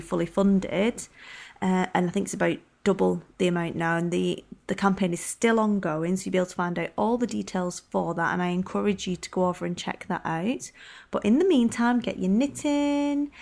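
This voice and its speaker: A woman's voice: medium pitch, creaky, darkish tone. A woman hosting a podcast, chatting in an upbeat, conversational way. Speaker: podcast host. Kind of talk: casual podcast chat.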